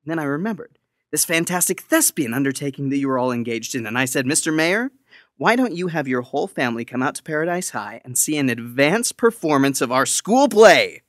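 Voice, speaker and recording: Radio play principal played by a man: jokey, clueless, bright and swooping. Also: a little high, sing-song, fairly quick, no echo, studio quality. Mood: pleased storytelling. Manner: dramatic, enthusiastic. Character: excitable, clueless, jokey high school principal, male.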